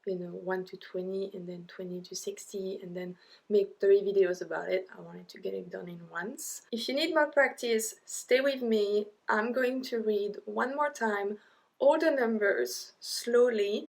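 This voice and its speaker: A young woman with a French accent, teaching an online language lesson, drilling number words slowly with chatty asides. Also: medium pitch, steady tone, gravelly, poor audio. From French-speaking Europe.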